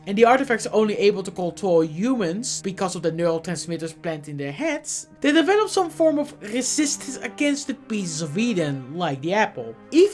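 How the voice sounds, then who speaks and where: A woman with a cold narrating a scripted explainer as a video host. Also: mid-range voice. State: has a cold.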